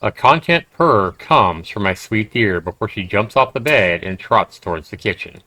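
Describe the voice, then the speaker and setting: Male game streamer, lowish voice, conversational emphatic commentary, reading aloud, faint noise. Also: animated, moderate pace, sharp tone.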